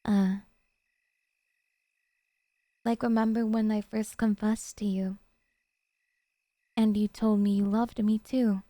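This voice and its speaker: A woman's voice: mid-range voice, level tone, slow pace, pauses often, dark tone, no echo, lightly acted. A woman playing an obsessive, possessive yandere girlfriend in an intimate ASMR roleplay, talking in a coy, cutesy, hesitant way to her sweetheart. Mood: clingy adoring.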